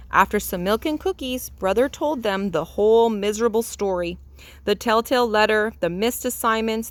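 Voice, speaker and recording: A woman's voice: mid-range voice, no echo. A woman reading a children's storybook aloud as narrator. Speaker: storybook reader.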